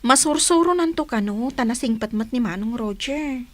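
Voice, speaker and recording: An actress with a Filipino accent playing a stern, protective elder sister in a village radio drama. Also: female voice, on the high side, lively intonation, moderate pace, dry recording, natural voice. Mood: firm, warning. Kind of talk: Ilocano radio drama acting.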